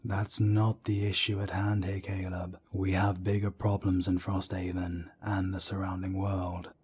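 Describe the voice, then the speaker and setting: A man performs a calm werewolf mentor for an audio drama. His voice is deep, dark and husky, with a soft breathy edge. He speaks in a measured, reassuring way, like a firm older councillor advising a friend. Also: exaggerated voice. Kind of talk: scripted roleplay audio drama.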